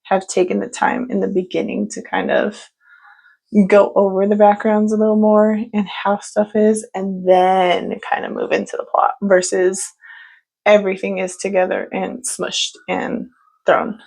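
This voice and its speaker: A woman vlogger talks about books she's reading, loose and rambling, full of fillers. Her voice has a gravelly, creaky edge and sits at an ordinary pitch. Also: little pitch movement, a little slow, a little mellow, poor audio. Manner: chatty, rambling, many fillers. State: stuffy from allergies. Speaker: book vlogger. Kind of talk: casual book update chat.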